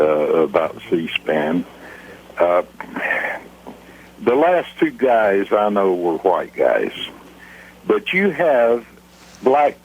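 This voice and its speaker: A man's voice: lowish voice, a little mellow, breathy edge. A man with an American accent airing a complaint as a caller on a call-in show, over a muffled broadcast phone call.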